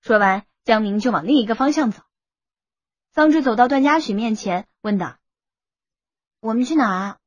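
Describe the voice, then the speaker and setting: A woman's voice: on the high side, animated, normal speed, pauses often, no room sound, muffled recording. A woman reading a novel aloud as an audiobook narrator in scripted style. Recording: music bed under the voice.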